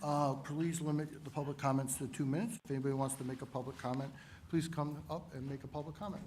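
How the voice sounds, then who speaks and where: A man chairing a town meeting, making brisk procedural announcements. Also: medium pitch, fairly even intonation, darkish tone, a little hiss or hum. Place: town hall meeting room.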